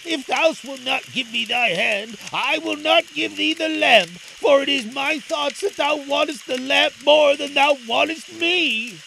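A headstrong, earnest lad from an old storybook tale, piping up high in archaic words, as a male storyteller plays him, noise behind. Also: a little slow, gravelly, full character voice. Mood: obstinate. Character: obstinate, boyish young lad, pleading and breathless.

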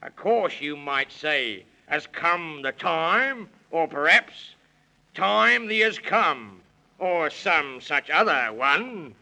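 Genial, puffed-up British walrus gent, 1930s children's radio; high-pitched, gravelly, rhyming delivery. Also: male voice, animated, slow, bright, forceful tone, exaggerated voice, poor audio. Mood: pompous bluster. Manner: pompous, exclamatory, speaking in rhymes. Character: blustery, pompous, genial British walrus gentleman. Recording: vintage 1930s radio recording.

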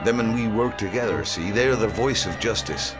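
A man playing a gruff, hard working-class hangman and father in an audio drama, snapping out blunt, coarse lines. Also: on the low side, normal speed, natural voice. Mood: vengeful. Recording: loud music under the voice.

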